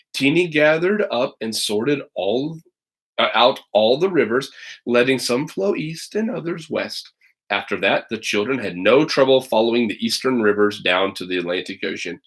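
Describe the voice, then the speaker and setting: Male storybook reader, teacher type, mid-range voice, animated, conversational, drops in playful asides. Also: medium pitch. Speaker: teacher reading aloud to children online. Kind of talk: expressive storybook read-aloud with casual asides.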